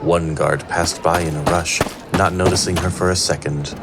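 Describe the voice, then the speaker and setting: A man's voice: low voice, steady tone, normal speed. A man performing scripted narration of a fantasy tale as an all-knowing storyteller. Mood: measured. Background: prominent music.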